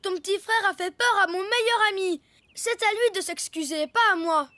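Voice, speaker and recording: A woman's voice: very high voice, moderate pace, sharp tone, dry recording. A woman voicing an eager, good-hearted young boy turtle with an earnest, childlike manner in a French-dubbed children's cartoon. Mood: defiant.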